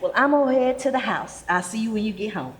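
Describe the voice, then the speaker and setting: A woman's voice: on the high side, animated, fast talker, close to an everyday voice. A woman acting as a proud, reassuring mother of faith in a live stage play. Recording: echoey hall, faint background noise.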